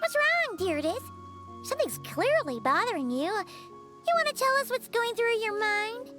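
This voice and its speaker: A tiny floating fairy girl who talks in a squeaky, childlike voice that shoots very high and jumps all over the place. She is blunt and nosy, quick to tease. Soft game music sits under her lines. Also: sing-song, exaggerated voice. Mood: blunt chatty. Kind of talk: game dialogue.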